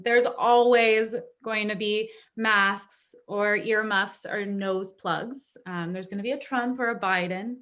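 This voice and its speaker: Reassuring grown daughter played by a woman, mid-range voice, slow, halting, deliberate explanation, staged-reading drama. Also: medium pitch, a bit bright. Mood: frank, reassuring. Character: reassuring adult daughter, a social worker, reasoning with father.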